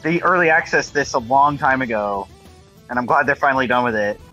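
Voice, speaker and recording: A man's voice: mid-range voice, brisk, bright, forceful tone, faint music behind, low-quality recording. A man chatting casually on a stream, wry and sarcastic, swearing freely. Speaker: stream co-host.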